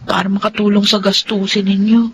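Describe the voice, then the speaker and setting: Actress playing a persevering Filipina student, noisy recording. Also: female voice, medium pitch, flat delivery, fairly quick, close to an everyday voice. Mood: worried, scolding. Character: struggling, persevering adult female student, dutiful eldest daughter. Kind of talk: Filipino radio-drama style acting.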